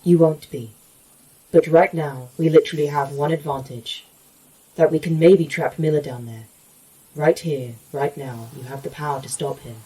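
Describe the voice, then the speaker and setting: Actor as a blunt survivor, mellow dark timbre, raspy, insistent, audio drama, distant recording. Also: medium pitch, moderate pace, close to an everyday voice. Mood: urgent, pleading. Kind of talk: sci-fi horror audio drama acting.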